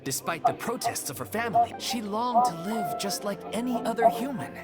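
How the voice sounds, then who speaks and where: An actress playing an earnest, polite theatre troupe member explaining things in a video game. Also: female voice, a little high, expressive, normal speed, close to an everyday voice. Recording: music under the voice.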